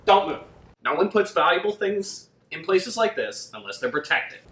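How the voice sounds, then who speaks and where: A man's voice: high-pitched, very animated, normal speed, fairly bright tone. A man playing a tabletop fantasy game, chatting casually with the group. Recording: echoey room with faint noise.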